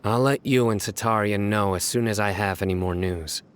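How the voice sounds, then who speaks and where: A man playing a reserved, dutiful official in a video game, terse, formal and deadpan. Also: lowish voice, moderate pace, slight background noise, natural voice. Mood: businesslike. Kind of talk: video game dialogue acting.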